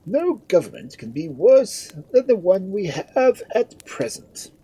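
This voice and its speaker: Woman playing a storybook lord, male, pompous, slow and self-important, pitched up, husky. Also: very animated, warm tone, heavily acted. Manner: pompous, drawn-out.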